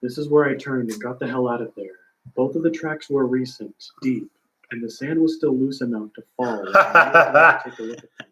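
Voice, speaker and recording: A man narrating a scary outdoor story in a plain, flat read. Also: mid-range voice, a little fast, rough recording.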